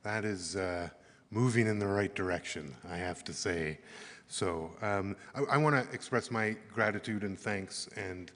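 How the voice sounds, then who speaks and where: A Canadian man giving earnest closing remarks at an arts summit, halting and thoughtful, with many fillers. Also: on the low side, a bit bright. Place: large conference hall. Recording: echoey hall with long reverb.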